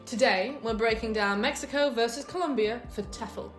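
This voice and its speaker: A woman's voice: on the high side, moderate pace, reverberant, faint music behind. A woman co-hosting a casual scripted chat, upbeat and laughing easily as she counts off points. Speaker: co-host.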